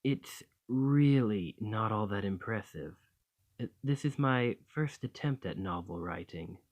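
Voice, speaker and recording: A man voicing a gentle, bashful dragon in a romantic ASMR roleplay, tender and hesitant, doting on a partner. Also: lowish voice, animated, measured pace. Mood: flustered, embarrassed. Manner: flustered, hesitant, tender, with sighs and stammers.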